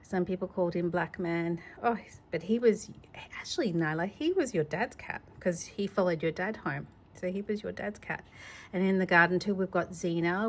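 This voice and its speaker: A woman reading a children's storybook aloud in an informal, gentle, conversational way, adding personal asides for a child. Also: lowish voice. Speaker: storybook reader.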